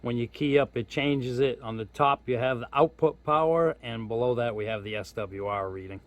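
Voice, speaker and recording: A man's voice: medium pitch, normal speed, no echo. A man giving a casual hands-on product demo, explaining step by step in an informal, folksy way. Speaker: host of a radio gear review channel.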